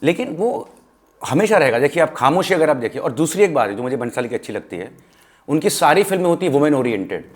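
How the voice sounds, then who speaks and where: A man's voice: a little high, sing-song, fast talker. An Indian man in a casual film discussion, speaking emphatically and giving firm opinions, mixing English phrases into his Hindi.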